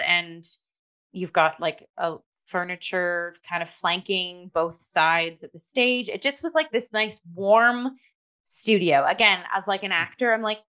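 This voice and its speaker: A woman's voice: mid-range voice, fairly bright tone. A woman chatting casually as a talk host reviewing theatre, acting out little asides as she goes.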